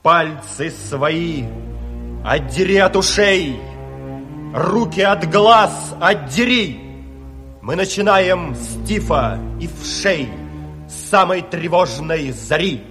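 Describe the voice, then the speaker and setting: An actor playing a proud narrator, solemnly and emphatically declaiming verse and announcing scenes in a radio play. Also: male voice, on the high side, animated, takes it slowly, fairly bright tone. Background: music.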